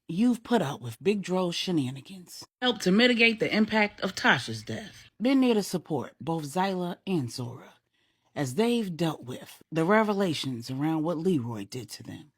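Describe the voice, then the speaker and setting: A middle-aged woman storyteller reading a grown-up bedtime story aloud, voicing a devoted grieving mother with a heartfelt, measured delivery. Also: fairly low pitch, breathy edge, no echo, studio quality.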